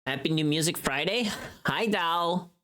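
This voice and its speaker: Low voiced woman streamer just chatting, kinda all over the place, pokes fun at herself, reacts big to chat. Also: unhurried, fairly bright tone. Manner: chatty, scattered, self-deprecating, reacts expressively. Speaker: livestreamer chatting with viewers. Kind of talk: casual livestream chat.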